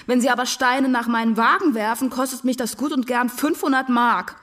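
A woman's voice: fairly high pitch, steady tone, brisk, fairly bright tone, faint music behind, natural voice. A young woman playing a breezy, bossy, self-satisfied girl of nineteen from a well-off home in a radio drama. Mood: chatty, animated. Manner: chatty, bossy, carefree.

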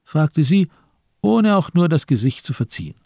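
A man's voice: fairly high pitch, big pitch swings, normal speed, gravelly, warm tone, dry recording, clean studio sound. A man narrating a radio crime drama in scripted, literary prose.

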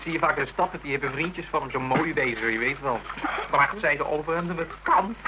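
A casual, cheeky man played by an actor. He gossips quickly in a slangy way, in a fairly high voice. The radio drama recording is clearly noisy. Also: close to an everyday voice. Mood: mocking, gossipy.

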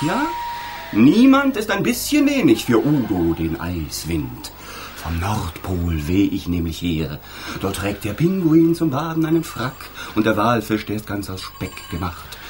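A man playing a boastful, flighty wind spirit in a German children's radio play, blustery and sing-song, slipping into breathy murmurs. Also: fairly low pitch, big pitch swings, takes it slowly. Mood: boastful, blustering.

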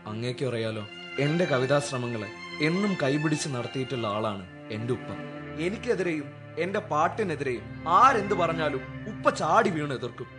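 Troubled young poet, lightly high male actor. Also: expressive, fairly quick, close to an everyday voice. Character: troubled earnest young poet confiding in mentor. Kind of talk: radio drama acting. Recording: radio drama with music underneath.

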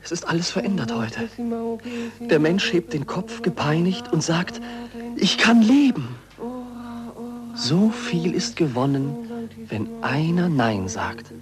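An actor playing a scientist's fervent disciple in a declamatory, impassioned 1940s German radio play style. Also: male voice, fairly high pitch, sing-song, slow, pauses often, a crowd in the background. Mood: awed conviction. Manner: declamatory, impassioned, dropping to hushed tones.

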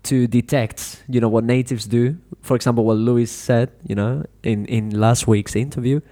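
A man who teaches English hosts a casual podcast interview with a student. His voice is warm and mellow. He talks loosely, restarting often and leaning on "you know". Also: mid-range voice, fairly even intonation, moderate pace. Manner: rambling, many "you know" fillers and restarts.

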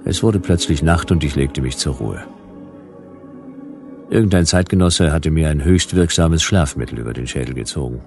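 A man's voice: a bass voice, moderate pace, creaky, music in the background. A man playing a stubborn hard-boiled detective, narrating his case in a dry, laconic, wry way in a radio play. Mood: sardonic.

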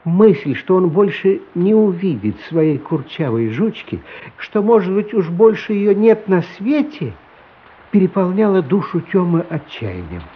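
Guy narrating a classic story dramatically, high voice, dull radio sound. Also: big pitch swings, unhurried, breathy edge. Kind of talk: dramatic scripted literary narration. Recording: dull lo-fi radio, music behind. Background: music.

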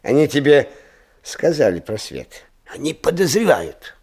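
An actor playing an eccentric old schoolteacher-inventor in a radio play, agitated and dreamy, muttering haltingly and repeating himself between exclamations. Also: male voice, fairly high pitch, sing-song, darkish tone, husky, full character voice. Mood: flustered, suspicious. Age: older. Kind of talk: theatrical radio play acting.

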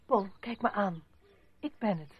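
Anxious, stubborn wife, muffled recording. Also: female voice, medium pitch, lively intonation, a little slow, slightly rough. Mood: subdued, worried. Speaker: actor. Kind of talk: Dutch radio play acting. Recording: dull, muffled old radio recording.

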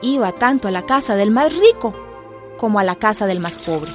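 A woman's voice: mid-range voice, lively intonation, moderate pace, close to an everyday voice. A woman narrating a radio drama as a grown villager fondly remembering childhood, tender and reflective. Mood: nostalgic, tender. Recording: music behind the voice.

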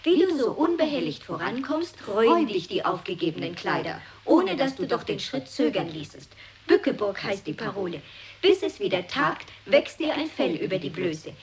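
A woman with a high, very expressive voice recites experimental verse and speaks straight to the listener. She is recorded in a radio studio. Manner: addresses the listener directly as "you". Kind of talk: scripted poetic recitation.

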